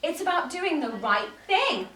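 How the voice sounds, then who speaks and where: Woman voicing a bossy storybook cat, drawn-out and insistent. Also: sits high, sing-song, pushed and bright, echoey room, distant mic, pitched above the performer's own voice, full character voice. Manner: emphatic, insistent, drawn-out delivery. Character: bossy, know-it-all cat laying down rules. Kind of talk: children's picture book read-aloud.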